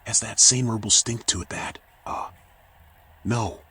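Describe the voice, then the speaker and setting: A low, world-weary voice for a raccoon private eye in a noir video game. He is terse and guarded, with a dry, cynical way of talking. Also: steady tone, a little slow, bright, forceful tone, slightly rough, heavily acted.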